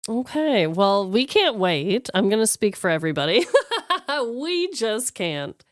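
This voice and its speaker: A woman with a smooth, clear voice and very lively intonation chats as a podcast co-host. She is expressive and informal, recorded close and dry. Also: on the high side, slow pace, dry recording. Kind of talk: casual chat.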